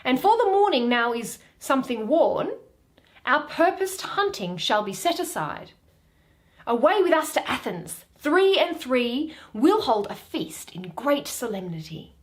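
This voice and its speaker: A woman's voice: sits high, big pitch swings, measured pace. A woman reading Shakespeare, voicing a gracious, decisive Duke of Athens in stately declamation. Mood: gracious, commanding.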